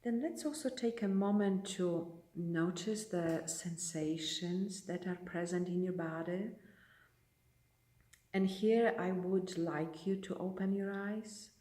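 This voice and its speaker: A woman leading a guided meditation as a teacher, speaking in a gentle, unhurried way with long pauses. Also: on the low side. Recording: roomy echo, distant microphone.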